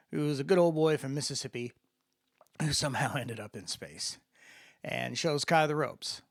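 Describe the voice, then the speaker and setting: A man narrates like an author presenting his own book, with dramatic delivery. His slightly high voice moves widely in pitch and has a gravelly edge. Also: no echo, clean studio sound. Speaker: author and reader. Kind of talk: dramatic scripted audiobook narration with spoken introduction.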